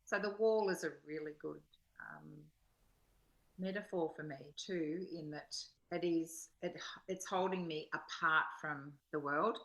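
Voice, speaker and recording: A woman in a reflective spiritual conversation, putting her thoughts together in halting, measured phrases. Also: low voice, fairly bright tone. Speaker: study partner in a spiritual discussion.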